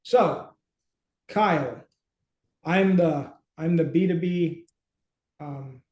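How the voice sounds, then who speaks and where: A middle-aged man with a fairly high, mellow voice talks through a screen share in a casual way. He thinks aloud and often halts mid-sentence. The sound is roomy, as from a video call in a small room. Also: very slow, dull audio. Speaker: host and app company team member. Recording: roomy, echoey home room.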